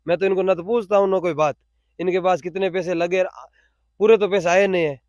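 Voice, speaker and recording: High-pitched Rajasthani man, dry sound. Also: fairly even intonation, brisk, a little mellow, no room sound. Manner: repeats phrases for emphasis. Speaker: interviewee, cow shelter volunteer. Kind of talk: spontaneous explanatory interview answers.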